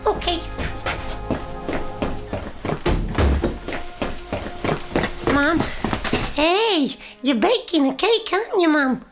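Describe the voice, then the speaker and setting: A woman's voice: very high voice, animated, measured pace, soft background music, pitched above the performer's own voice. A woman voicing an eager, cheeky young boy, nagging his parents with questions in a radio comedy sketch. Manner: chirpy, nagging, full of questions.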